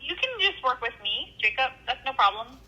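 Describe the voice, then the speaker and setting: A woman working a helpline, speaking gently and hesitantly with many fillers on a phone call. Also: high-pitched, lively intonation, a little fast. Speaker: helpline worker.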